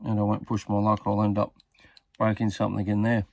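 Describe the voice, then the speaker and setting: A man's voice: lowish voice, completely flat delivery, a little fast, creaky, a little mellow, dry recording. A man giving a casual hands-on repair tutorial, talking tentatively and muttering as he works. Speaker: hobbyist demonstrating a radio repair.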